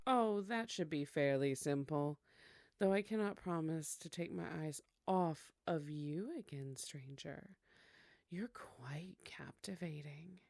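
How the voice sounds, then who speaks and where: Woman as a slow, low, flirtatious vampire. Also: vocal fry, darkish tone, dry recording, studio quality, exaggerated voice. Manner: slow, coy, mock-polite formality. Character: flirtatious, teasing vampire woman, smoothly seductive. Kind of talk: scripted ASMR audio roleplay acting.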